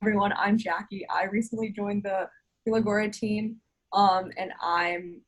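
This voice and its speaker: A teenage girl, a high school student, talking chattily with quick asides on a video call. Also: fairly even intonation, low-quality recording. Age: teens. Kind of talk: casual video call meeting.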